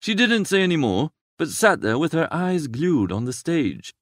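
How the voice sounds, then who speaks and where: A man reading a first-person literary story aloud as an expressive narrator. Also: a little high, moderate pace, no echo, clean studio sound. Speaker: audiobook narrator.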